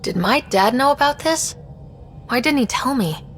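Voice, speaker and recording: A woman's voice: sits high, animated, moderate pace, soft background music. A woman playing an inquisitive young space explorer in a video game, speaking in a direct, casual, questioning way. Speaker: player protagonist. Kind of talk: scripted video game dialogue.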